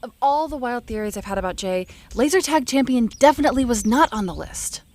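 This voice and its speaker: Woman as a wry, animated teen hero girl. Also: on the high side, brisk, no echo, natural voice. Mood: teasing. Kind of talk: scripted audio drama acting.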